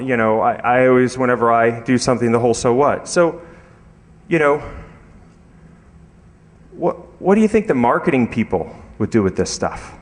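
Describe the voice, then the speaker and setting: A man speaking as a presenter at a conference, giving an informal technical talk in a hesitant, rambling way full of ums and you-knows. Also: medium pitch. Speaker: conference speaker, security researcher. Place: live talk in a conference room.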